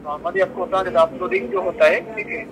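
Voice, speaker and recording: A man from India working as a customer care agent, politely explaining and troubleshooting in halting, repetitive phrases, heard through a phone speaker. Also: sits high, flat delivery. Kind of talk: customer support call, troubleshooting. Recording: phone call on speaker, faint background noise.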